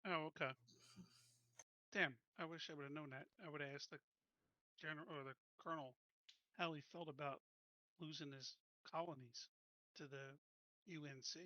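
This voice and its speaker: Bright, tense-voiced man player. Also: fairly high pitch, fairly even intonation, normal speed, pauses often, no echo, highs cut off. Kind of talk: casual chat in a tabletop game.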